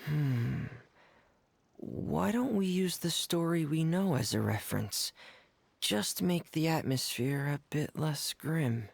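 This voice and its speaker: A man playing a shy, earnest, soft-spoken teenage boy in a video game cutscene, reserved and hesitant. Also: a little high, lively intonation, slow, vocal fry, a little hiss or hum, exaggerated voice. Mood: thoughtful.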